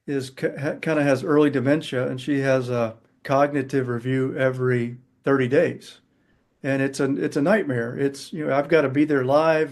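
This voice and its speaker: A man's voice: medium pitch, darkish tone. A man hosting a casual business call, asking questions and offering ideas in conversational talk.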